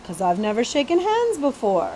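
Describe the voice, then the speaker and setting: A spoiled young prince, played by a woman reader, high-voiced and cool. He speaks briefly, in the manner of a storybook character. Faint noise runs behind the voice. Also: wildly swooping pitch, normal speed, warm tone, low-quality recording. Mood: wondering.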